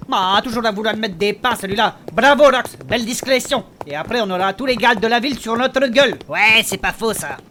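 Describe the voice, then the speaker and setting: A woman's voice: on the high side, fairly quick, hardly any pauses, fairly bright tone, a little hiss or hum, natural voice. A woman playing a grumbling, worried innkeeper turned reluctant adventurer in a comic fantasy audio drama, blunt and sarcastic. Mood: exasperated.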